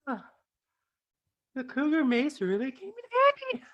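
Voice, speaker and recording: A woman's voice: high voice, huge pitch swings, a little slow, a bit bright, muffled recording, heavily acted. A woman playing a scared but pragmatic young camper in a staged reading, speaking insistently. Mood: shaken.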